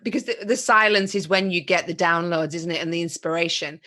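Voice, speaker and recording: A woman hosting a podcast, supportive and quick to agree. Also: lowish voice, sharp tone. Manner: supportive, lots of short agreements. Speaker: podcast host. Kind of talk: casual interview chat.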